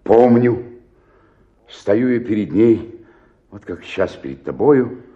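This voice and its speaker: An actor playing a despairing, self-pitying old provincial actor in a radio play, declaiming and then sinking into murmurs. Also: male voice, lowish voice, expressive, slow, muffled recording. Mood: rapt, wistful. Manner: declamatory, swinging between outburst and murmur. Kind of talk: radio play dramatic monologue.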